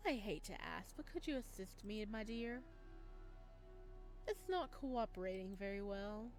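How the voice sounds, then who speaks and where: A woman voicing a sinister male florist in a horror game, speaking softly with an unsettling calm, sometimes close to a whisper. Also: mid-range voice, unhurried, soft background music. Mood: tender.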